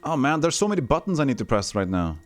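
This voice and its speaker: A man's voice: medium pitch, very animated, fast talker, soft background music. A man from Texas with no regional accent, chatting casually as he streams a retro game, reading game text aloud and answering his chat. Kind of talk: casual gameplay commentary.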